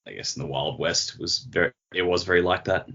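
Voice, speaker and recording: A man's voice: low voice, brisk, pushed and bright, husky, highs cut off. A man co-hosting a podcast, chatting casually with colloquial commentary. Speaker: podcast co-host. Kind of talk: casual chat.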